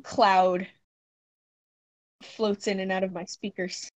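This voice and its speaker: A woman's voice: medium pitch, flat delivery, slow pace. A woman co-hosting a casual podcast, playful and teasing, tossing out rhymes. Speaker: podcast co-host. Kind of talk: casual chat.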